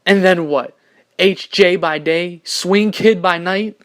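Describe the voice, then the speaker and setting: A man playing a loyal, defiant young rebel in an audio drama, with a forceful, challenging delivery. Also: medium pitch, fairly even intonation, a little slow. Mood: bitter, defiant.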